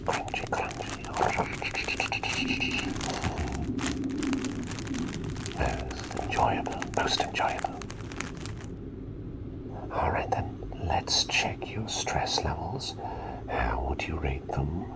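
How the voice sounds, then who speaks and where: Man playing a slow, whispering, gentle plague doctor. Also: lively intonation, heavily acted, low-quality recording. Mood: softly playful. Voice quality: breathy whisper with low gravelly creak. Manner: soothing, unhurried, quaintly polite. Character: gentle, courteous, caring plague doctor, male. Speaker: ASMR performer. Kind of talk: whispered ASMR roleplay, personal attention. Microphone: close microphone.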